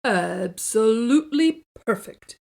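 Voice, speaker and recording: A woman voicing a proud, earnest father in a children's storybook, landing each grand word deliberately and emphatically. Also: on the high side, lively intonation, vocal fry, a little mellow, heavily acted.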